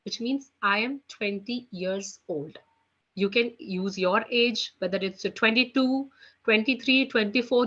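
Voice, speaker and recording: A woman with an Indian English accent teaching a beginner French class online, often repeating "you know" and "okay" between phrases. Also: sharp tone. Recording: muffled low-fidelity audio.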